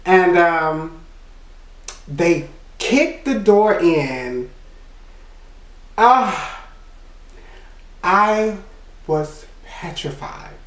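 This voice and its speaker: A man with a high voice telling a personal story in a casual, rambling way, full of "you know" and quick laughs. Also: lively intonation, fairly bright tone, reverberant, distant mic. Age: adult. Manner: laughs between remarks. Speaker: vlogger.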